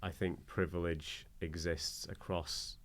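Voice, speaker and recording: A man with a deep, low-pitched voice talks as a guest in a relaxed panel discussion. He is hesitant and halting, restarting phrases as he works through his view. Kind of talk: casual discussion.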